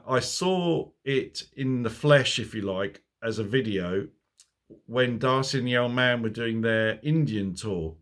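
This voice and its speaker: A middle-aged man with a sore throat, chatting casually to his phone camera about motorcycles, rambling and chuckling at his own asides. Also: mid-range voice. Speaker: motorcycle vlogger.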